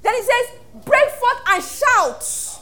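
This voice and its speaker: A Nigerian woman pastor preaching an animated sermon, acting out voices and laughing often. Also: very high voice, takes it slowly.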